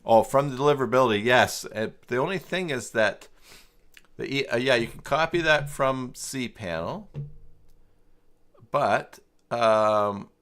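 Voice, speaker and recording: A man hosting a casual livestream tutorial, halting and muttering to himself as he thinks aloud and reads from his screen. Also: mid-range voice, a bit bright. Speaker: livestream host working through website email setup.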